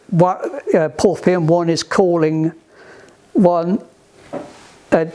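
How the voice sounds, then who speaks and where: A man giving informal, practical instruction to a group, halting and often restarting his phrases. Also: fairly high pitch, a little mellow. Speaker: instructor at a sailing club radio session. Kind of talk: informal instructional talk with questions.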